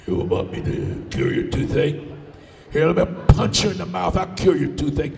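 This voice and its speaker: A man's voice: fairly high pitch, steady tone, normal speed, vocal fry, darkish tone, pitched below the performer's own voice. A man playing a mean, boastful giant with magic, blustering and emphatic as he tells a folk tale live. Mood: mocking. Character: boastful, mean giant with magic, easily enraged, later whimpering. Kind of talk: live oral folk storytelling. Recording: echoey hall, far from the mic.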